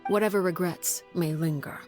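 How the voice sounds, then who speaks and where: Stern woman leader, acted by a woman, lowish, composed, formal, breathy, game drama, music behind. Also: steady tone, lightly acted. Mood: quietly regretful. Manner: formal, measured, solemn. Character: cold, commanding, composed woman leader of an orphanage house. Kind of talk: video game voice acting.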